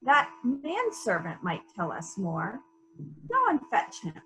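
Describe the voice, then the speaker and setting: A woman's voice: mid-range voice, wildly swooping pitch, slow, sharp tone, heavily acted, poor audio. A woman playing a probing, methodical female police detective in a dramatic reading of a murder mystery, musing and questioning as she goes. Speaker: actor in a library's dramatic reading.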